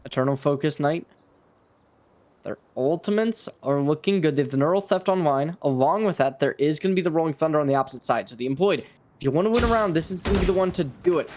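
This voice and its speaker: High-pitched man calling esports play-by-play. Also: expressive, fast, a little mellow. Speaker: esports commentator. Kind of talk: live esports play-by-play commentary. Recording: clearly noisy stream with clicks.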